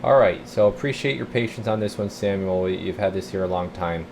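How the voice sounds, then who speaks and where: Low-voiced man, casual repair talk. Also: a little fast, creaky, noisy background. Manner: folksy, informal, thinking aloud. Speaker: radio repair technician presenting his repair videos. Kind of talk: casual rambling repair walkthrough. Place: radio repair workshop.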